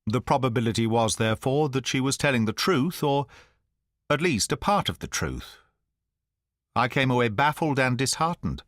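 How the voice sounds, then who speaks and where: A man narrating an audiobook in the first person, at a measured, even storytelling pace. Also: medium pitch, no echo, studio quality.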